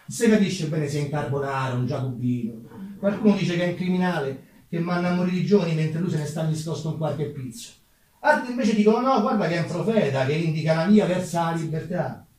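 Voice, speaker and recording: Far off in a very echoey room, a male actor plays a talkative Roman street umbrella mender from the 1840s. His voice sits a little high, with a thick Roman accent. He is folksy and self-deprecating, tossing conversational asides in a stage monologue. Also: expressive, a little fast, darkish tone, lightly acted. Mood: gossipy. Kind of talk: theatrical monologue in dialect. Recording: very echoey room, distant microphone.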